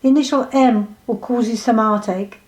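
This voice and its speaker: A woman's voice: on the high side, steady tone, moderate pace, darkish tone, natural voice. A woman voicing a blunt, theatrical older woman psychic who pronounces fortunes colloquially in a storybook reading. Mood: ominous. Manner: colloquial, pronouncing, calls listeners dear.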